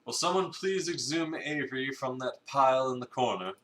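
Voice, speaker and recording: A man's voice: mid-range voice, normal speed, fairly bright tone, close to an everyday voice. A man playing a grandly theatrical, suave cafe owner in a visual novel reading, with pompous, formal phrasing. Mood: commanding. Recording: roomy, echoey sound.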